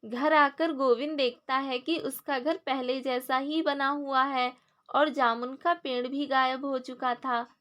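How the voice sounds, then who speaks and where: A woman's voice: sits high, steady tone, measured pace, a bit bright. A woman narrating a scripted moral story for children in storybook style.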